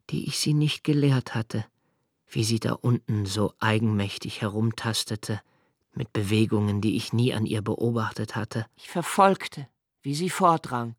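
An actor playing an introspective, haunted man who recalls his childhood in a literary radio play, with measured, precise phrasing and deliberate pauses. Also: mid-range voice, slightly rough, dry recording, clean studio sound. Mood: absorbed, uneasy. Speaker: radio drama narrator. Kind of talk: literary first-person radio play narration.

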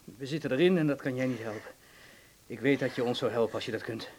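An actor in a radio drama playing an anxious, conciliatory husband in wartime hiding, earnest and placating. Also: male voice, medium pitch, fast talker, darkish tone, slight background noise, natural voice, poor audio. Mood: resigned, apologetic. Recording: faintly clicky old radio recording.

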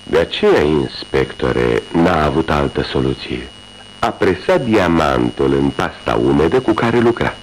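A low-voiced methodical detective. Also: male voice, very animated, a little hiss or hum, poor audio. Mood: explaining. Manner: measured, explaining deductions step by step. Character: brilliant methodical male detective, calm and wry. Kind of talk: 1980s radio drama acting.

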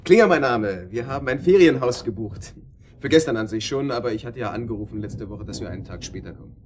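A man's voice: mid-range voice, expressive, fast talker, darkish tone, slight background noise, close to an everyday voice. An actor playing a breezy, teasing young man on holiday in a German radio drama. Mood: polite, businesslike. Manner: jaunty, teasing.

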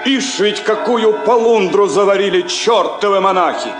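A man plays a rough revolutionary sailor in a Soviet stage drama. His voice is high for a man, blunt and slangy, full of bluster. The recording is echoey, with music behind. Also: moderate pace, lightly acted. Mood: indignant.

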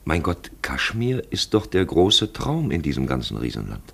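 A man narrating a literary radio play as a grave storyteller, expressive and deliberate in his phrasing. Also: very deep voice, gravelly, breathy edge, heavily acted. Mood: grave, intent. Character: grave male storyteller voicing a traveller's thoughts.

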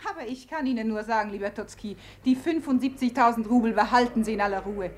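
High female voice, an actress as proud society beauty, mocking, reckless, theatrical, radio drama. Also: fast, a little hiss or hum, natural voice. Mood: defiant. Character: proud, scornful, reckless Russian society beauty, bitterly defiant. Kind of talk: German radio play acting.

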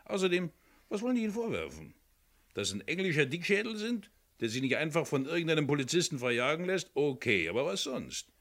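An actor playing a breezy, roguish sidekick in a radio crime drama, chatty and cajoling. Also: male voice, a little high, big pitch swings, fast talker, fairly bright tone. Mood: confident, breezy. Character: breezy, roguish male sidekick and treasure hunter.

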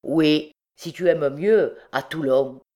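A woman's voice: low voice, slow pace, mellow. A reader doing a callous, scornful ex-convict man. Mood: mocking. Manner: scornful, slangy, offhand. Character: callous, mocking male ex-convict, rough street villain.

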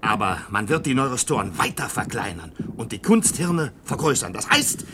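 A man's voice: mid-range voice, very animated, normal speed, natural voice. A man acting a proud, defiant inventor in a German science-fiction radio play, lecturing in a pedantic, impassioned way. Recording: very noisy old radio play recording.

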